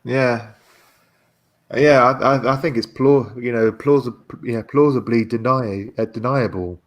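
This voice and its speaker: A man chats about politics on a livestream in a medium-pitched voice. His pace is very slow. He is halting and hesitant, often repeating words while he works toward his point. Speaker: discussion participant on a livestream. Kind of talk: casual political discussion.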